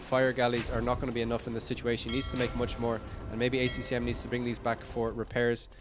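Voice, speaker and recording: A man with a croaky, tired voice giving live play-by-play commentary on a strategy game, upbeat and rambling with frequent fillers and self-corrections. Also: medium pitch, monotone, fast, music in the background. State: croaky, tired voice late in a long stream. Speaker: esports caster.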